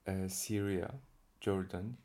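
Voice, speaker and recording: A man's voice: low-pitched, level tone, takes it slowly, mellow. A young man from Saudi Arabia as an interview guest, chatting casually and laughing often as he tells stories. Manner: easygoing, laughs often while telling stories. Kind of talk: casual conversation.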